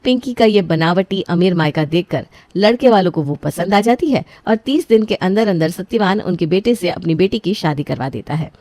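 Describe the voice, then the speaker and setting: A woman's voice: lowish voice, lively intonation, normal speed, few pauses, a little mellow, dry recording. A woman reading a scripted story as its narrator.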